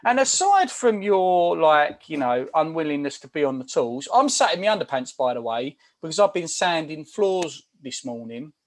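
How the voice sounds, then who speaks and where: A British man with a fairly high voice, teasing his co-host with loose, jokey podcast chatter. He mocks his friend in a relaxed, conversational way. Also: moderate pace, hardly any pauses. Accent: British English. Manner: joking, mocking. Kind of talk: casual banter.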